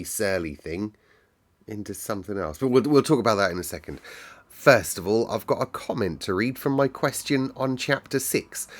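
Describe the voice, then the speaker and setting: A man hosting storytime, reading expressively and talking informally to his listeners. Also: on the low side. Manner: animated, informal, addresses listeners directly. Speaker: storytime reader and host. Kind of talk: expressive children's storybook read-aloud with chatty asides.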